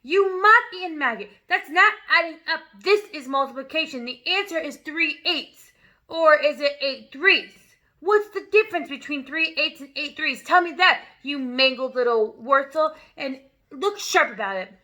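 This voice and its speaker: A woman's voice: on the high side, lively intonation, moderate pace, few pauses. A woman reading a story aloud, voicing a tyrannical headmistress who barks insults at a pupil. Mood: bellowing, bullying. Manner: barking insults, loud and harsh. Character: bullying tyrannical headmistress, woman, huge, furious.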